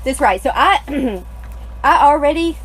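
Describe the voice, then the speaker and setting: A young woman co-hosting a live craft tutorial in an indoor studio, upbeat and a little hoarse, greeting viewers by name. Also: sits high, expressive, takes it slowly. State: slightly hoarse, losing her voice. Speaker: co-host, craft designer.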